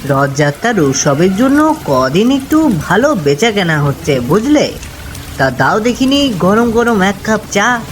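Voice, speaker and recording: A woman voicing a friendly but jumpy male village peddler in a cartoon ghost story, with expressive delivery. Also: mid-range voice, measured pace. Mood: easygoing. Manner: expressive, stammers when frightened. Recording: noisy background ambience.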